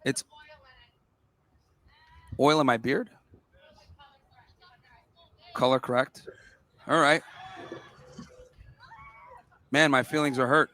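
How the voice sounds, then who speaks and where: A man debating students on a college campus in a blunt, mocking way, firing back quick comebacks. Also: mid-range voice, steady tone, pushed and bright.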